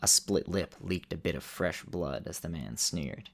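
A man narrating a scripted fantasy radio drama in deliberate, short phrases with dramatic pauses. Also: sits low, gravelly.

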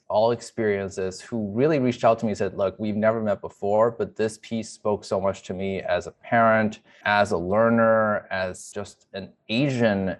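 A man speaks in a fairly low register. He gives a personal, conversational account, as a guest in an interview does.

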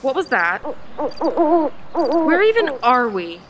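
A woman's voice: high voice, big pitch swings, extremely slow, a little hiss or hum, full character voice. A woman playing a stubborn, petulant young girl, a little sister, in a dramatic audio drama style. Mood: awed, uneasy. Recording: faint outdoor night ambience, crickets.

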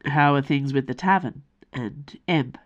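Woman reading as a low dry-voiced mercenary dad. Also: a little slow, muffled recording. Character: weary gruff middle-aged male mercenary father.

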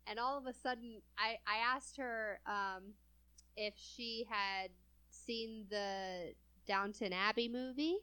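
A woman's voice: mid-range voice, slow pace, pushed and bright, clear, resonant tone. A young adult woman from California chatting casually as a co-host, laughing often between phrases. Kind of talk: casual chat.

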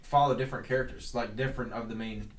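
A man's voice: medium pitch, fairly even intonation, fast, far from the mic. A laid-back man co-hosting a podcast who mostly chips in with short agreeing remarks. He sounds set back from the mic, his voice carrying an echo. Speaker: podcast co-host. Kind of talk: casual profane chat. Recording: echoey room, off the mic.

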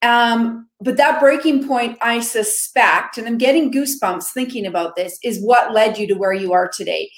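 A woman's voice: fairly high pitch, moderate pace, hardly any pauses. A woman hosting a podcast, chatting in a casual, enthusiastic way as she introduces and questions her guest. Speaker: podcast host. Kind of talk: casual interview chat. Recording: roomy echo.

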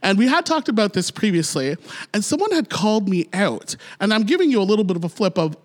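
A man's voice: high voice, very animated, fast. A middle-aged man from Ontario, co-hosting a podcast in a playful, upbeat casual chat. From Ontario, Canada. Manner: upbeat, playful, laughs often. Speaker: podcast co-host.

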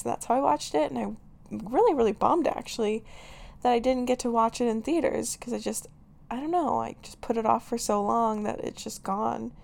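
A young woman hosting her own podcast, chatting casually and giggling, her voice raspy today with lots of filler words. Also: on the high side, darkish tone. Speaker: podcast host. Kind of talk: casual solo podcast chat.

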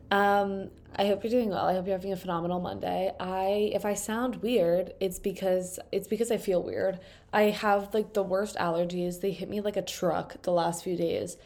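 A woman's voice: fairly low pitch, darkish tone. A young woman in her twenties hosting a casual solo podcast, stuffed up from allergies, rambling in a chatty way with lots of fillers, recorded on a microphone propped on a table. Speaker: podcast host. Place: indoors at a table.

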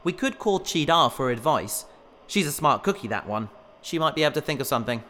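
Casting: actor as pedantic, courteous male scientist-adventurer for a sci-fi radio play, animated delivery, echoing cave sound. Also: a little high, brisk. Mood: reasonable. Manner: hesitant, polite, pedantic. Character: eager, bookish male researcher explorer, polite and mild-mannered. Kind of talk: sci-fi audio drama acting. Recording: long cave reverb, faint noise and ambience.

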